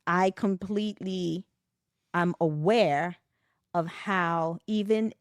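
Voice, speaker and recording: A middle-aged woman from West Africa, a podcast guest in a reflective conversation, telling animated stories, laughing often and acting out the voices she quotes. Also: lowish voice, no room sound, clean studio sound.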